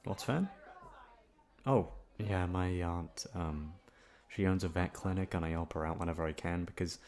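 A young man playing a shy, easygoing college classmate in an ASMR roleplay, talking casually in a hesitant, halting way. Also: low-pitched, a little mellow. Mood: shy, friendly. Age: young adult. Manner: hesitant, halting, awkward chuckles. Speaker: voice actor. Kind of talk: ASMR roleplay audio drama, casual conversational.

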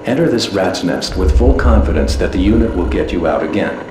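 An actor playing a firm, businesslike military commander who gives a formal, authoritative scripted briefing to fighter pilots in a video game. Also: male voice, low voice, expressive, a little fast. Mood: firm, grave. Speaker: mission briefing officer. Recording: echoey, noisy briefing audio.

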